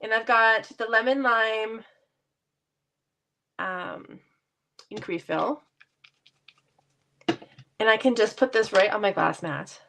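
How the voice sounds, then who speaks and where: A woman's voice: fairly high pitch, takes it slowly, gravelly, long pauses, sharp tone, slight background noise. A woman with a stuffy cold voice, chatting casually and laughing lightly as she demonstrates card making in her basement craft room. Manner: chatty, laughs often, self-deprecating.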